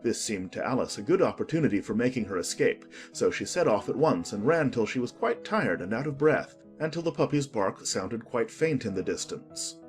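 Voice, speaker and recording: A man narrating a children's audiobook in an expressive, scripted storytelling style. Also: mid-range voice, normal speed, few pauses, soft background music.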